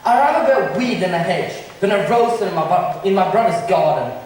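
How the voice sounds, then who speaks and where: A gloomy, bitter villain played by an amateur stage actor declaims with big swings in pitch. He broods and schemes like a resentful prince's brother. The sound is distant, in a very echoey hall. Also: lively intonation, brisk, a little mellow, a little hiss or hum, natural voice. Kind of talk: amateur stage acting of modernized Shakespeare. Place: large echoey room, school drama performance.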